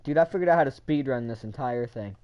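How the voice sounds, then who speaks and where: Foul-mouthed excitable man. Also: medium pitch, quick pace, dull audio. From United States. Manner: profane, loud excitable outbursts. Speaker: gaming video creator. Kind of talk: casual gameplay chat.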